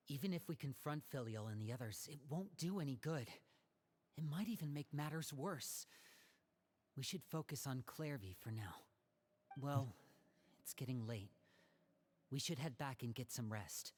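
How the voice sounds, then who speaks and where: A composed young magician. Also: male voice, medium pitch, darkish tone. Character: clever young man, a magician and an older brother. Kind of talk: video game dialogue.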